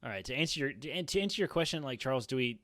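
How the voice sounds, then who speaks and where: A man's voice: medium pitch, expressive, very fast, a bit bright, no room sound. A man in his thirties chatting casually as a podcast panelist, speaking into a close microphone. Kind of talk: casual chat. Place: small room at home. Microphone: close boom microphone.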